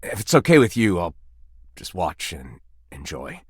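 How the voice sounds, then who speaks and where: An actor playing a humbled, ordinary knockoff candelabra in a video game, plain-spoken and sheepish. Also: male voice, low-pitched, wildly swooping pitch, unhurried, slightly rough, dry recording, clean studio sound, full character voice. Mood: sheepish, resigned. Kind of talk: scripted video game character acting.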